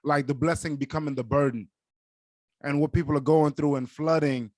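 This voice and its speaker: A man's voice: a little high, steady tone, moderate pace, a little mellow, no room sound. A young man whose first language is Yoruba, co-hosting a podcast in casual, earnest chat and often leaning on "like". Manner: earnest, leans on "like" as a filler. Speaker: podcast co-host.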